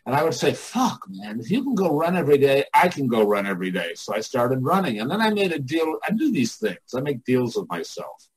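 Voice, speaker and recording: An older man with a somewhat husky voice at an average pitch. He speaks like a mentor in casual conversation. His way of talking is candid, folksy and freely profane. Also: very animated, a little fast, poor audio. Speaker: artists' coach and mentor, podcast guest. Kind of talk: casual motivational chat.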